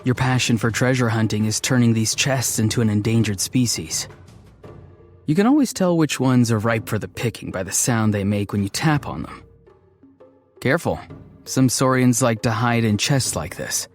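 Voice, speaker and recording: An actor voicing a gentle, odd, introverted young man in a video game, speaking in an earnest, hesitant, matter-of-fact way. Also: medium pitch, expressive, moderate pace, faint music behind, close to an everyday voice. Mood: wry. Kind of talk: scripted game character lines.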